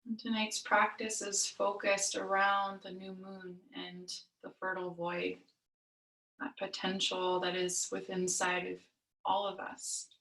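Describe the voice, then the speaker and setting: Female meditation guide, very slow and soothing, narrow pitch movement, long pauses, recorded at a distance from the mic. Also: flat delivery, a bit bright. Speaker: yoga nidra meditation teacher. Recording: roomy echo, distant mic.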